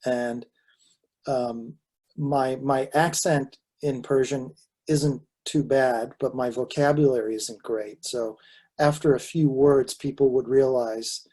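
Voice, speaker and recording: Mellow, hesitant man. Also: medium pitch, fairly even intonation, slow pace. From Southern California. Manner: hesitant, many fillers and restarts. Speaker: panelist and author. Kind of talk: reflective panel answer. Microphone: video call.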